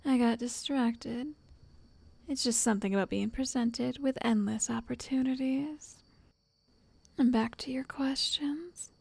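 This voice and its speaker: A woman playing a possessive, lovestruck witch who coaxes and controls in a soft, breathy, often whispered ASMR roleplay voice. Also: a little high, no echo, exaggerated voice. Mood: dreamy, playful. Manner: slow, tender, condescending endearments.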